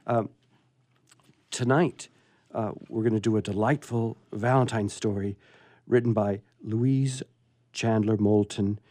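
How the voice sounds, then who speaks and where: A man hosting a radio show casually in a studio, playful and a bit halting, with theatrical emphasis. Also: on the low side, fairly even intonation, warm tone, no echo. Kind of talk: casual, unscripted introduction.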